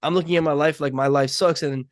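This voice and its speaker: A man's voice: a little high, steady tone, quick pace, no room sound, studio quality. Rambling young man hosting a podcast. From Nepal. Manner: rambling, many fillers and restarts. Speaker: podcast host. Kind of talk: casual conversation.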